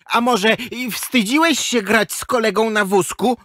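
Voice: mid-range voice, unhurried, a bit bright, no room sound, studio quality, close to an everyday voice. A performer playing a cheeky, optimistic schoolboy in a children's audio drama. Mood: teasing.

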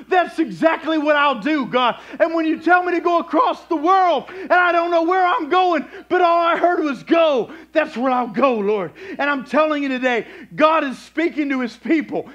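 High-pitched man preaching with fervor. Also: a bit bright, highs cut off. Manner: declamatory, exhorting, repetitive phrasing. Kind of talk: fervent charismatic revival sermon.

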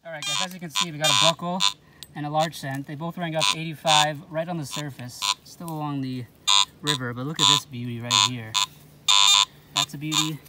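A man's voice: on the high side, steady tone, a little fast. A man giving informal handheld vlog commentary on his metal detecting, talking to his viewers as guys, recorded on a phone outdoors. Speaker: metal detecting video host. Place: mostly outdoors in grass and along a river. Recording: clear background noise, outdoor ambience.

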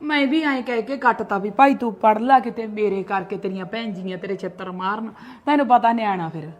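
A woman's voice: medium pitch, natural voice. A middle-aged woman, acting a defensive mother who argues back and scolds her sister-in-law in a family skit. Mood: reasoning. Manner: argumentative, quick retorts, scolding.

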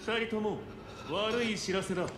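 An actor playing a serious, businesslike ally giving briefings in a game cutscene, precise and matter-of-fact. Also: male voice, high voice, moderate pace, background noise, close to an everyday voice. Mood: grave. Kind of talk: Japanese game cutscene acting.

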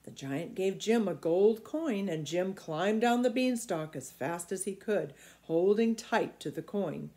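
A middle-aged woman reads a children's story aloud. Her delivery is expressive, with lively pitch movement around a medium register. She is recorded at home, a short way from the device. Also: unhurried, hardly any pauses. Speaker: storybook reader. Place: small indoor room at home.

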